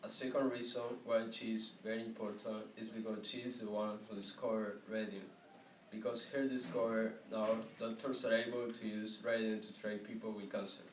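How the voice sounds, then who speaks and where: Low, flat-toned man presenting. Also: brisk. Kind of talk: prepared presentation. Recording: echoey room, distant mic, faint noise.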